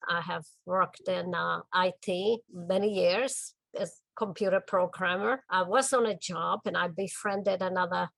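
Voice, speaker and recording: An older woman from the Czech Republic with a Czech accent, talking about her art in a documentary interview in her home studio, gentle and thoughtful with small pauses. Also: on the low side, steady tone, vocal fry, no echo. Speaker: artist.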